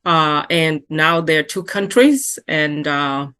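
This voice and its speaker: A middle-aged woman from South Sudan, a native Arabic speaker, telling her career path in a halting way with many fillers and restarts. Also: low-pitched, fairly bright tone, clear, resonant tone. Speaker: program alumna and epidemiologist. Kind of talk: recounting career path in a webinar.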